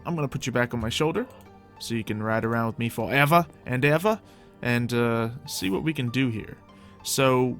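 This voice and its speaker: A man's voice: mid-range voice, a bit bright. A man giving casual, improvised roleplay game commentary, chatting and thinking aloud as host of a gaming video.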